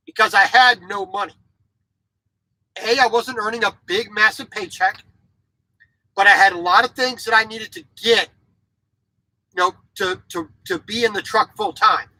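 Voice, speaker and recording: A man's voice: high voice, pushed and bright, no room sound, poor audio. A man in his forties, a truck driver vlogging from his sleeper cab, speaking bluntly in a casual monologue with frequent restarts. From grew up in Japan as a military child. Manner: blunt, repeats words and restarts, opinionated. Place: truck sleeper cab, small indoor space.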